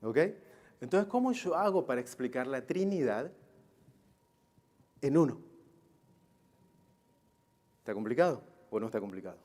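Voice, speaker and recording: A man's voice: medium pitch, big pitch swings, measured pace, long pauses, a little mellow. A man teaching a Bible class in a didactic way, posing rhetorical questions and often checking that his listeners follow. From Israel.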